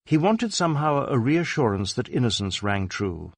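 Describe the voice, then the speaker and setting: A man narrating a detective novel as an audiobook, with measured, dramatic storytelling. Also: mid-range voice, sing-song, no room sound.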